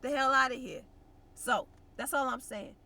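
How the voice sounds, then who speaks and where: A woman's voice: high-pitched, moderate pace, sharp tone. A young woman vlogging in a blunt, slangy, conversational way, sounding congested and nasal. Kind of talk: casual direct-to-camera chat.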